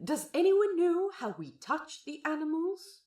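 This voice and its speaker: Audio story casting: kindly, fussy gentleman guide played by a woman, high-pitched, slow and proper, roomy sound. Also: sing-song. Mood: cheerful, kindly. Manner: polite, proper, earnest. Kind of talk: character acting for narrated audio fiction.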